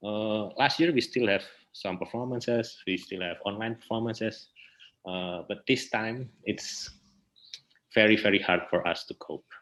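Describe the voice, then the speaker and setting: A man's voice: on the low side, unhurried, fairly bright tone, rough recording. A man with an Indonesian accent answering interview questions informally, in hesitant phrases with repeated words. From Indonesia, north coast of Java. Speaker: interview guest, theatre artist and festival director.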